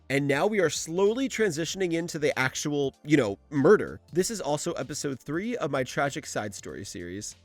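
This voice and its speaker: A man's voice: fairly high pitch, no room sound. A young man giving casual, conversational scripted narration as a video essayist. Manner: informal, conversational.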